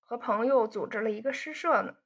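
A woman reading a storybook voices a spoiled young rich man who teases his sweetheart with easy banter. The tone sits fairly high with a husky, breathy edge. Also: a little fast. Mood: teasing. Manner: bantering, coaxing. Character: teasing young man, playful rich son.

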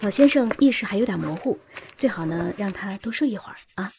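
A woman's voice: mid-range voice, expressive, fast talker, noisy background, lightly acted. A woman playing a caring, businesslike hospital nurse in a radio drama. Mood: brisk, attentive. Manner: businesslike, instructive.

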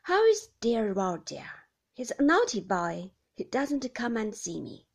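A woman's voice: a little high, huge pitch swings, unhurried, vocal fry, heavily acted. Woman reading as a doting blind grandmother in her eighties. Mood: fond. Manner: fond, doddery, gently complaining.

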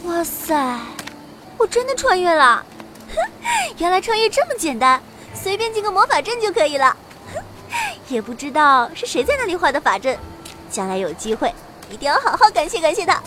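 A woman playing an excitable teenage schoolgirl transported into a fantasy world in an audio drama, exclaiming and talking to herself playfully. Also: very high-pitched, normal speed, background noise, exaggerated voice. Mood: delighted. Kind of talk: Chinese audio drama acting.

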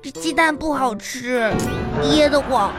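High-pitched little schoolgirl, slow and childlike. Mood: innocent, stubborn. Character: little schoolgirl, naive, wheedling, chocolate-craving daughter. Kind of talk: comedy radio drama. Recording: music behind the voice.

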